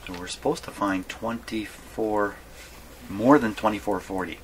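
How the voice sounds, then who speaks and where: A man giving a casual hands-on workshop demonstration, thinking aloud in a halting mutter and repeating his words. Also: fairly low pitch. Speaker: hobbyist radio repairer presenting his workshop video. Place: radio repair workshop. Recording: clearly noisy workshop recording.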